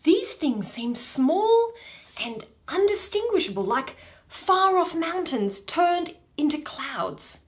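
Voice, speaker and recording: A woman's voice: very high-pitched, sing-song, slow pace, voice pushed up, heavily acted. A woman reading Shakespeare, voicing an ardent, earnest young Athenian man in love, in theatrical verse. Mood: dazed wonder.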